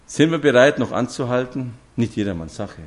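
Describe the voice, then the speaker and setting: A man's voice: mid-range voice, lively intonation, normal speed. A man, preaching a sermon to a congregation and telling stories with direct questions to his listeners. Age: 50s.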